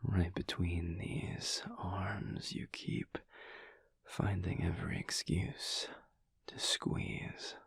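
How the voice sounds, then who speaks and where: A man playing a teasing, affectionate werewolf husband in an intimate audio roleplay, murmuring in a breathy, gravelly, hushed drawl. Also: sits low, fairly even intonation, no room sound, in a whisper, exaggerated voice. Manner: slow, hushed, wry drawl with pauses.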